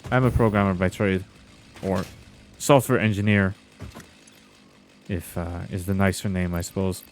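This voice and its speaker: A young man with a fairly low voice talks through a game stream at an easy pace. He chats loosely with his viewers as he plays. A faint hiss sits under his desk mic. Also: normal speed. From Belgium. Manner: relaxed, chatty, reading and answering chat. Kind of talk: casual gameplay commentary with chat.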